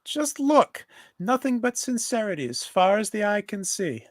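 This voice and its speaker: A man's voice: high-pitched, big pitch swings, moderate pace, no echo, studio quality. A man voicing an earnest, gullible, hopeful little boy in a live cartoon table read.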